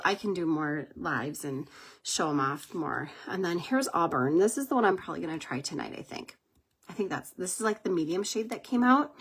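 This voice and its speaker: A woman with a cold, congested and husky, chatting casually while doing her makeup on a livestream, rambling and laughing often. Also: mid-range voice, a little hiss or hum. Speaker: makeup live-stream host.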